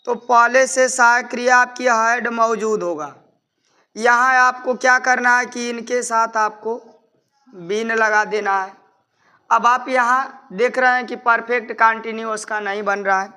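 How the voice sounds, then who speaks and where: A man's voice: extremely high pitch, bright, forceful tone, smooth. A man with an Indian accent speaking as a teacher, explaining step by step in a didactic way and repeating his instructions. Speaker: English grammar teacher. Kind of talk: instructional lesson, explaining step by step in Hindi with English terms.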